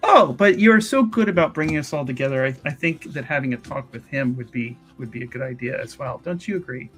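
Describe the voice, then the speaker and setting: A man's voice: medium pitch, animated, a little fast, a bit bright, faint music behind, lightly acted. A man voicing a polite, pompous female mage investigator in a tabletop roleplaying game, with formal, wordy, hesitant speech. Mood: coaxing.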